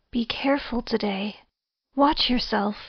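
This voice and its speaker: A woman's voice: on the high side, breathy edge, exaggerated voice. A woman playing a wary, subdued wife in gothic audio drama dialogue. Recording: dull, muffled audio.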